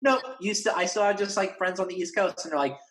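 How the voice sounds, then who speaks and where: A man's voice: sits high, fast, a bit bright. A man from Northern California, chatting casually as an interview guest in a rambling, slangy way. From Northern California, United States. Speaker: interview guest, horror journalist. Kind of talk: casual chat.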